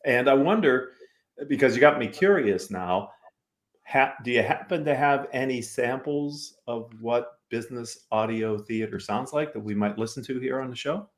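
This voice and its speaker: A man's voice: mid-range voice, moderate pace. An American man hosting a business talk show, presenting and interviewing in an upbeat style.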